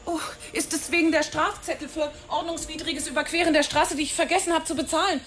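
An actress playing a nervous, chatty young film extra, who stammers and rushes her words in a radio drama. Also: high-pitched, fairly even intonation, fairly bright tone. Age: young adult. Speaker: witness. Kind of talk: German radio drama acting.

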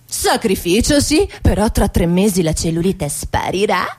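An actress playing a pushy beauty-salon saleswoman in her fifties, with an exaggerated sales pitch in satirical radio theatre. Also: lively intonation, moderate pace, a little mellow, slight background noise, close to an everyday voice. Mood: persuasive. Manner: salesy recitation, exaggerated emphasis. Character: pushy fifty-ish beauty-salon saleswoman in doctor's coat.

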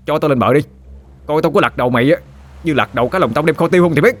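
A man's voice: fairly high pitch, pitched above the performer's own voice, lightly acted. A man doing a brash stammering young guy. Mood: flustered. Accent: Southern Vietnamese. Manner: stammering, rapid, blustering. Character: brash young man, scrappy and hot-headed.

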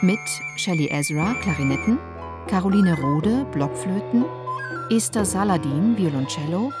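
A woman's voice: lowish voice, expressive, a little slow. A woman announcer reading scripted radio drama credits. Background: music.

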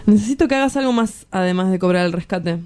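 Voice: mid-range voice, quick pace, darkish tone, smooth, natural voice. An actor with an Argentine accent playing a cocky, romantic kidnapper in an audio drama, teasing and breezy. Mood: coaxing. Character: cocky young male kidnapper, sly romantic schemer. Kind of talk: dramatic dialogue acting.

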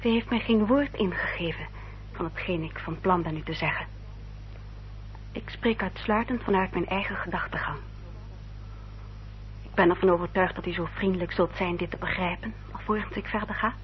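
A woman acting an earnest, dutiful young upper-class lady in a radio drama, speaking formally and with careful, measured diction. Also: lowish voice, natural voice. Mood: solemn, composed. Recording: dull, noisy old radio recording with hum.

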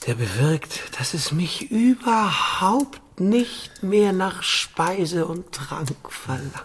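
An actor playing a tipsy medieval student who dreamily and haltingly describes visions, in radio drama style. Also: male voice, a little high, a little hiss or hum. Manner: dreamy, entranced, halting delivery.

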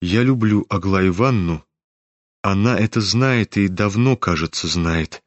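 A man voicing a timid, gentle young nobleman in a storybook reading, speaking softly and hesitantly. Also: lowish voice, a little slow, no room sound, lo-fi sound, close to an everyday voice. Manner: soft, hesitant.

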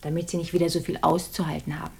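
A slightly low female voice plays a clinic nurse in a radio crime play. She is brisk and professional, with a gently scolding, teasing edge and a reassuring way. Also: level tone, vocal fry. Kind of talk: German radio crime drama acting.

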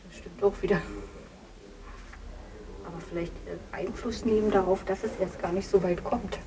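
A woman with a medium-pitched voice acts as a questioner in an audio drama. She challenges tentatively, with noisy ambience clearly audible around her. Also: fairly even intonation, moderate pace, natural voice. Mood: questioning.

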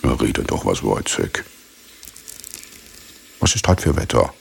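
Pompous muddled army captain, radio play, super low creaky voice, keeps repeating himself, bit of noise behind. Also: male voice, sing-song, slow pace, exaggerated voice. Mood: condescending, musing. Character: pompous, befuddled, moralizing army captain lecturing his subordinate. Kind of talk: theatrical radio drama acting.

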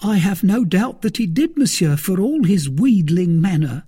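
A man voicing a frightened, excitable young male servant who talks eagerly and breathlessly, with a husky voice. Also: high voice, moderate pace, pitched above the performer's own voice, exaggerated voice. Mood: anxious eager.

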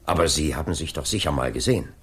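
An actor playing a politely persistent police inspector in a radio play, questioning insistently with drawn-out, swooping phrases and a creaky voice. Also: male voice, extremely low pitch, expressive, exaggerated voice. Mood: insistent, suspicious. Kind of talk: 1980s German radio play acting.

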